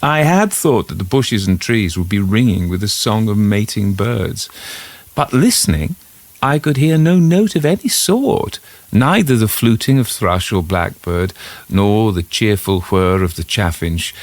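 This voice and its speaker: A man reading a scripted first-person literary story as its narrator, in a measured storytelling manner. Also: on the low side, lively intonation, dry recording.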